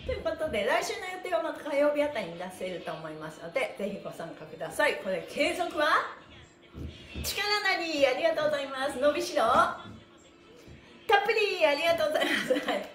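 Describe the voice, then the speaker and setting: A middle-aged woman with a high-pitched voice guides a livestreamed exercise class. She is cheerful, polite and full of encouragement. The mic sits well away from her, so the bare room echoes around her words. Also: big pitch swings, fast, a bit bright, soft background music. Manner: cheerful, encouraging, laughing often; polite, playfully adds honorifics to body parts. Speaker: stretching instructor, livestream host. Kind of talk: live exercise class with counted chants. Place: small bare-walled room with wooden floor, indoors. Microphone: camera mic a few metres away.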